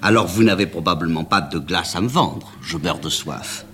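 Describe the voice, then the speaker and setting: A man voicing a nervous, easily frightened young woman, reading her lines high and pleading. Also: normal speed, sharp tone, a little hiss or hum. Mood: flustered.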